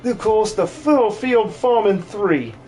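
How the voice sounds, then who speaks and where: A man voicing an eager, timid young farmhand who talks in a folksy, excitable way for a game dub. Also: high-pitched, a little slow, a little hiss or hum. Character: eager, timid young male farmhand, easily carried away.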